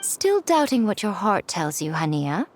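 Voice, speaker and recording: A woman's voice: mid-range voice, very animated, a little slow, a little mellow, slight background noise. A woman playing an elegant, self-assured mystical lady in a game, haughty, composed and knowing. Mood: calm, knowing. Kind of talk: English game dub voice acting.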